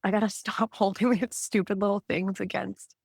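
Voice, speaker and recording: A woman's voice: medium pitch, little pitch movement, moderate pace, vocal fry, a little mellow, breathy edge, no echo, studio quality. A woman from Canada talking candidly and casually as a client in a healing session, rambling and self-reflective, often saying "like". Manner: informal, rambling, self-reflective, with frequent 'like'. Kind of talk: candid, casual therapeutic conversation.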